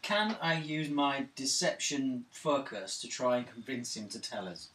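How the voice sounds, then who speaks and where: A man's voice: mid-range voice, lively intonation, unhurried, a bit bright. Male gamer in a roomy, noisy recording. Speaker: tabletop game player. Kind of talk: casual banter. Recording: roomy, distant mic, clear background noise.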